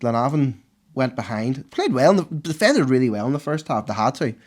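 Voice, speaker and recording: A hoarse man with a Northern Irish accent who co-hosts a podcast and gives the sport round-up with animated, excitable enthusiasm. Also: medium pitch, brisk. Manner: enthusiastic, animated, laughs easily. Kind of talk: casual podcast chat.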